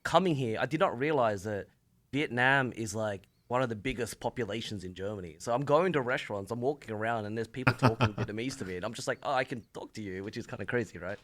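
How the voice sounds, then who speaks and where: A man's voice: medium pitch, fast talker, a bit bright. A man with an Australian accent chatting casually as a podcast guest, in a joking, friendly manner. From Sydney, Australia. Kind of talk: casual chat.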